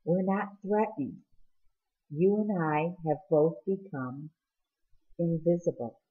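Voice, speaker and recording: Storybook teen boy, female reader: sorry, sincere, emphatic, low-fi sound. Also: lively intonation, takes it slowly, narrow, tinny audio. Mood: hushed, calm. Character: remorseful teenage boy, earnest, seeking forgiveness.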